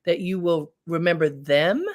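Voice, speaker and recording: A woman's voice: lowish voice, steady tone, measured pace, darkish tone. A middle-aged woman hosting an interview, telling stories in an emphatic, conversational way. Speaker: host.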